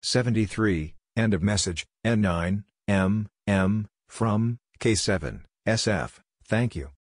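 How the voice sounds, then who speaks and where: A man's voice: on the low side, slow pace, no room sound, clean studio sound. A man reading scripted radio practice messages in short, clipped, segmented phrases, as a narrator. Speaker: narrator of Morse code practice.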